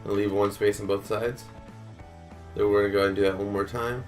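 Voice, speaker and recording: A man's voice: on the low side, quick pace, soft background music. A man who is a little sick, casually walking through a building tutorial step by step and counting blocks aloud. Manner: relaxed, counts blocks aloud, many fillers. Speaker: gaming tutorial host.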